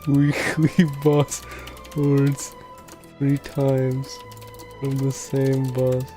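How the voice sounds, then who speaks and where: A livestreamer talks casually in a middling pitch at a very slow, halting pace. The speech sounds like thinking aloud at a computer, with faint music and keyboard typing behind it. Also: completely flat delivery, dark tone. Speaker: game streamer. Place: indoors at a computer.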